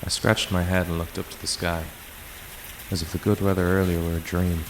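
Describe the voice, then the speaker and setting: A man's voice: sits low, level tone, fairly quick, a little hiss or hum, lightly acted, low-quality recording. A man playing a weary, aloof math teacher, narrating and talking in a dry, resigned way for a visual novel fandub. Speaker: narrator and lead character. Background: music and rain sounds.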